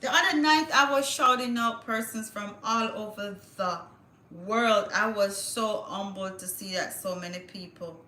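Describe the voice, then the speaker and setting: Bright-voiced woman livestreaming. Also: mid-range voice, unhurried, roomy sound. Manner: chatty, thinks aloud, reads with stumbles. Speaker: livestream host. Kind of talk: casual livestream chat, reading news aloud.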